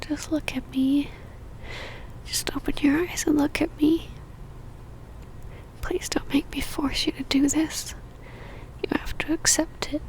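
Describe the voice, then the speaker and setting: Girl doing a clingy yandere vampire lady, high breathy whisper, halting n pleading, asmr roleplay. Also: dark tone, low-quality recording. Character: lovesick yandere female vampire, possessive, pleading and apologetic.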